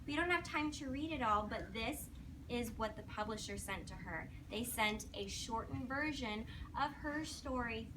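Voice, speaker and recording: A woman's voice: on the high side, lively intonation, moderate pace. A woman teacher reading aloud to young children in a classroom, with simple instructional prompts and praise. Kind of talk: read-aloud lesson with questions to children. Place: classroom, recorded from a distance. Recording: distant mic, noisy classroom.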